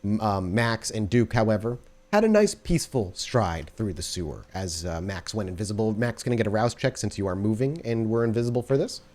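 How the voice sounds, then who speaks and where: A man working as game master, improvising descriptive narration for a tabletop game in a halting way. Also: fairly low pitch, animated, a little mellow.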